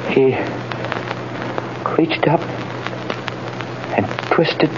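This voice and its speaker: A man's voice: on the high side, very slow. An actor playing a distressed young condemned man, meek and hesitant, in 1930s radio style. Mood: strained, faltering. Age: young adult. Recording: dull, noisy 1930s radio recording.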